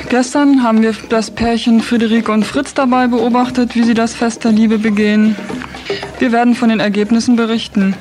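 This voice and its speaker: Higher-voiced woman hosting radio over music. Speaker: radio host. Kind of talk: scripted radio magazine presentation.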